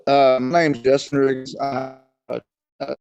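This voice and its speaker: A man in his thirties speaking as a talk show guest, earnest and halting, with many fillers. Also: medium pitch, level tone, gravelly, darkish tone, no echo, muffled recording. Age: 30s. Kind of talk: casual chat. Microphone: video call setup.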